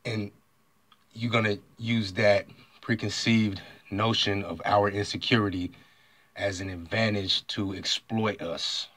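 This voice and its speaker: Deep-voiced American man, dry close mic. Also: fairly even intonation, unhurried, fairly bright tone, dry recording. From United States. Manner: emphatic, with frequent throat clearing. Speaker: vlogger. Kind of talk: monologue retelling an online argument.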